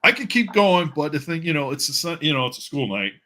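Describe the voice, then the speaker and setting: Male livestream host, very sharp bright tone, rambling jokey chat, halting delivery. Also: fairly high pitch, sing-song. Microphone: video call stream.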